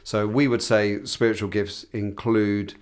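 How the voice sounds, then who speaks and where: A man's voice: fairly low pitch, level tone, a little slow, clear, resonant tone. A man, a pastor, talking in a podcast chat, wry and self-deprecating, with a cold coming on.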